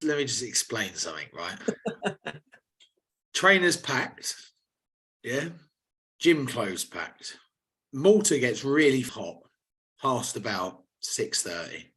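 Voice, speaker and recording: Hoarse man, laddish banter. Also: mid-range voice, sing-song, slow pace, pauses often, a bit bright. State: slightly hoarse. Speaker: podcast co-host. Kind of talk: casual chat.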